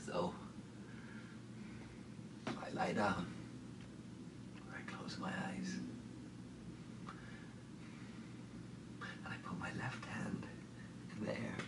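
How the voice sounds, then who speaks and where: A man acting a dramatic stage monologue as a grieving, haunted man, speaking haltingly and intensely with repeated phrases. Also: low-pitched, very noisy. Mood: hushed, despairing. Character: grieving haunted man tormented by dreams of lost woman. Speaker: stage actor. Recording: echoey room, distant microphone.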